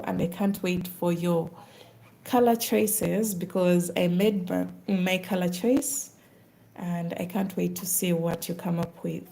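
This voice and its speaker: A woman's voice: fairly low pitch, creaky, warm tone, poor audio. A woman with a Ugandan accent, chatting casually on a live stream while she does craft work.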